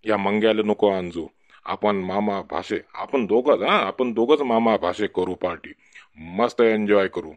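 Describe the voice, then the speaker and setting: A man's voice: on the low side, unhurried, no room sound, lightly acted. A man playing a pushy, boastful drunkard uncle in a village cartoon, rambling and cajoling with a Varhadi Marathi accent. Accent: Varhadi Marathi (Vidarbha). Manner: rambling, cajoling, repetitive tag questions. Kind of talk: comic village cartoon dialogue.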